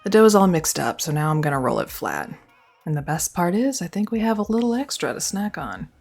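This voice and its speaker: A woman's voice: on the low side, sing-song, brisk, darkish tone, faint music behind. A young woman performing a scripted girlfriend roleplay, speaking close to the mic in an affectionate, teasing and reassuring way.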